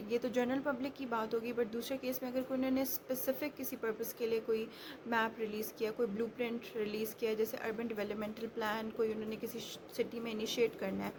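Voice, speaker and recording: A woman with a Pakistani accent giving an explanatory law lecture, instructive and restating her points as she goes. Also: fairly high pitch. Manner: instructive, repeats and rephrases points. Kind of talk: explanatory lecture mixing Urdu and English. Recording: clearly noisy background ambience.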